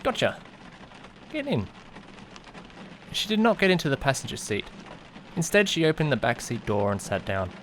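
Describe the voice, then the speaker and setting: Casting: male actor as awkward young driver narrating his own story, conversational and musing, very lively pitch, clear rain and car noise behind. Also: moderate pace, pauses often. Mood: casual, awkward. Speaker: narrator and lead character. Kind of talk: first-person audio drama narration with acted dialogue. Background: rain and vehicle sounds.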